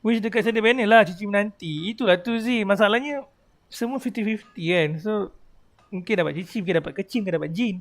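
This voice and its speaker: A man's voice: sits high, fast talker. A man with a Malaysian accent chatting casually as a game streamer, joking and teasing, mixing in English. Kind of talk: casual livestream chat and commentary. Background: game music.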